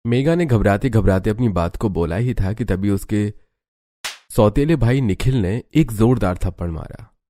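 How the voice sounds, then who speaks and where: A man narrating a scripted animated family story as a storyteller. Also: lowish voice, moderate pace, dry recording.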